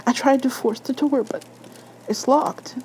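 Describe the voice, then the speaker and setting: A woman voicing a frightened, upset nineteen-year-old girl with halting speech in an audio horror story. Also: high-pitched, darkish tone, slight background noise, voice pushed up, poor audio. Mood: panicked.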